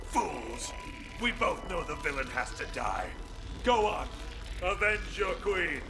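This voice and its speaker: An embittered villain for a fantasy video game. He speaks slowly and theatrically in a fairly high male voice, full of defiance. Heavy music plays behind him. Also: big pitch swings, vocal fry, heavily acted. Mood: scornful. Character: bitter, defiant fantasy villain, grown man. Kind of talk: dramatic video game acting.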